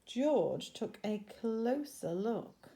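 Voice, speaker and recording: A woman reads a picture book aloud to children. Her voice is high and she takes her time, giving the story expression, dramatic pauses and small chatty asides. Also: lively intonation, gravelly, dark tone.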